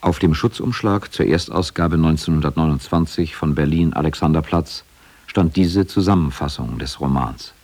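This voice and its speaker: A man's voice: rumbling low, normal speed, darkish tone, husky. A man reading scripted narration for a radio feature.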